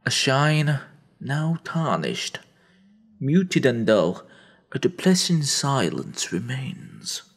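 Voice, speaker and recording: A man narrating a dramatic audio story in a theatrical, brooding manner, with heavy pauses. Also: mid-range voice, very animated, breathy edge.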